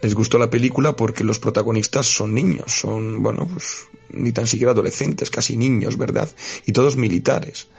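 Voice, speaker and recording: A man with an Italian accent, a guest philosophy teacher, talking in a hesitant way with many pauses and fillers. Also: medium pitch, vocal fry, a little mellow, slightly rough. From Italy. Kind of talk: casual spoken commentary.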